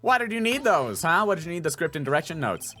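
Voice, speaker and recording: Man acting an excitable young defense lawyer, earnest, challenging, swinging pitch, game dialogue, music behind. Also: fast talker. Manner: emphatic, challenging.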